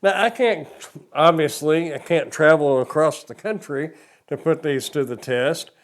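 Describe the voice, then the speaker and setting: A man's voice: fairly high pitch, a little slow. An older man reviewing a product hands-on in a casual, plain-spoken way, explaining things step by step.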